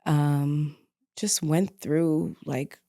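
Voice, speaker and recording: A woman with a slightly low-pitched voice talks as a guest on a podcast. Her style is candid and conversational, and she comes across as informal and reflective, checking that she is understood. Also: fairly even intonation, extremely slow, gravelly, dark tone.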